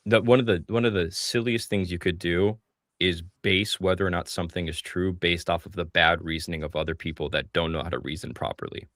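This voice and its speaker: Deep-voiced blunt male co-host. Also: steady tone, a little fast, fairly bright tone, no echo, studio quality. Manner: blunt, direct, advising.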